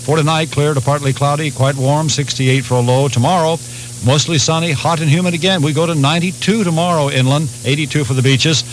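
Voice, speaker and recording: A man's voice: a little high, fairly even intonation, a little fast, rough recording. A man giving a radio weather forecast on a hissy 1980s recording with some hum.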